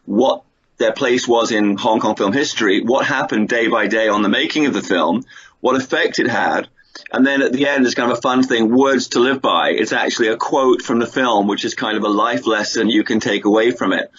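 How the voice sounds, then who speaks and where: A man's voice: mid-range voice, moderate pace, fairly bright tone. Englishman chatting over dull, noisy audio. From England. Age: middle-aged. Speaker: interview guest, film writer and producer. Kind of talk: chatty radio interview, telling anecdotes. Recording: dull lo-fi sound with clear background noise.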